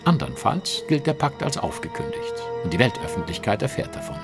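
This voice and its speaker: An actor playing a confident, sardonic Victorian detective in a German audio drama, polite, measured and ironic. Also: male voice, lowish voice, big pitch swings, creaky, over loud music. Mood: calm, menacing.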